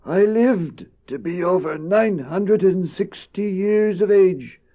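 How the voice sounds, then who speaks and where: A man playing a solemn, ancient patriarch who recounts his life in a dramatized audio narration. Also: high-pitched. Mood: solemn, regretful. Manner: solemn, measured. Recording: dull old cassette, music underneath.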